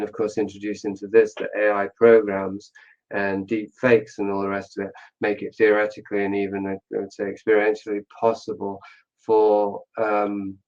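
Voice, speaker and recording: Guy talking in an interview, lowish flat voice, pensive, hunts for words, slightly muffled lo-fi audio. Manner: hesitant, searching for words, pensive. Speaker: interview guest, author. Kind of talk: reflective spoken explanation in a discussion.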